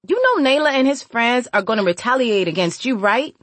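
Snotty young girl played by a female narrator: high, animated, hot-tempered, slangy, full of attitude. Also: moderate pace, dry recording, exaggerated voice. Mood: wary. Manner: slangy, defiant, exclamatory.

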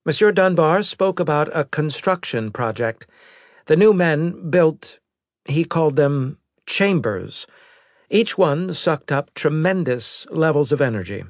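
A man voicing a brisk, formal female military intelligence officer giving precise reports in an audiobook. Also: a little high, animated, dry recording, clean studio sound, voice pushed up.

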